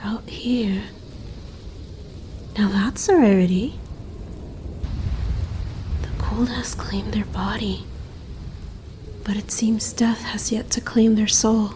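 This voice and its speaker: A woman acts out a serene female winter spirit, guardian of a snowy mountain, for an ASMR roleplay. Her voice is soft and dark-toned at a middling pitch, with stately, archaic wording. Noticeable ambient noise sits behind her. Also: fairly even intonation, natural voice. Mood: gentle, solemn, then curious concern. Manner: formal, archaic, measured phrasing.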